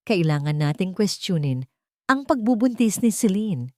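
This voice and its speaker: A woman's voice: medium pitch, very animated, normal speed, mellow, no echo, clean studio sound, close to an everyday voice. Woman voicing a grave, direct male investigator. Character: serious, direct male investigator breaking hard news.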